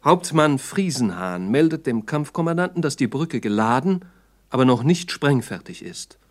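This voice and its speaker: A man's voice: medium pitch, sing-song, moderate pace. A man narrating a 1960s radio play as a sober, formal, factual report.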